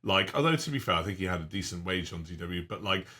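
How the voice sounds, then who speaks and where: A man in his thirties, co-hosting a casual podcast with lively, energetic banter. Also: low-pitched, breathy edge. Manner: energetic, laughs easily, fast restarts. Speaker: podcast co-host.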